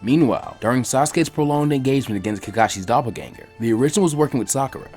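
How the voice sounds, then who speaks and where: Fast, animated male story reader. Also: mid-range voice, faint music behind. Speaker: narrator. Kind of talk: fan fiction reading.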